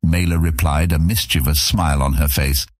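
An extremely deep male narrator with a husky grain, reading scripted fantasy fiction. The recording is dry and close, like a studio audiobook. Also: fairly even intonation, normal speed, no room sound.

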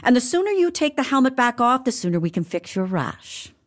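An actress playing a brisk, no-nonsense royal physician with a wry, matter-of-fact manner in a comedic audio drama. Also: female voice, on the high side, sing-song, heavy background noise. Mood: dry, brisk.

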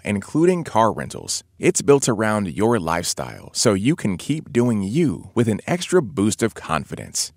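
A man reading a scripted ad in a smooth, upbeat voice. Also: lowish voice, dry recording, clean studio sound. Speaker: ad announcer. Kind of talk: scripted ad read.

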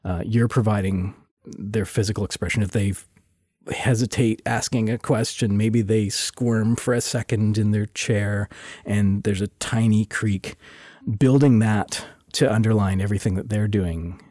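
Mellow, low-voiced man chatting casually. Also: a little slow, no echo, studio quality. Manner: relaxed, laughs often, frequent restarts. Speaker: sound designer guest. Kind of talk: casual interview chat. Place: lives in Toronto.

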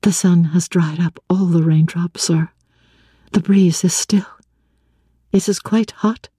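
Woman voicing a breathy, devoted young woman in love. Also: lowish voice, fairly even intonation, slow, dry recording, clean studio sound, pitched above the performer's own voice. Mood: gentle, practical. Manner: soft, breathy, tender and respectful. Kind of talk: dramatic audiobook reading of classic literature.

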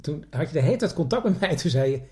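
A man's voice: a little high, animated, quick pace, warm tone. A man in casual chat as a podcast co-host. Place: indoors on a couch.

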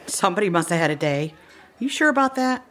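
No-nonsense barkeep, female, wry and folksy, very animated delivery, mid-range voice, audio drama. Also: mid-range voice, quick pace. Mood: teasing, amused. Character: no-nonsense, wry, good-humoured woman bartender who owns the bar.

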